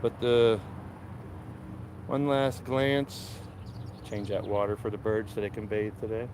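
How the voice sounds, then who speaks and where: A man's voice: on the low side, flat delivery, a little slow, a little mellow, slight background noise. A man hosting a casual live stream outdoors in his backyard garden, stuffed up with a cold, rambling to his chat as he walks with his phone. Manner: rambling, laid-back, talks to chat while walking. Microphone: phone camera held while walking.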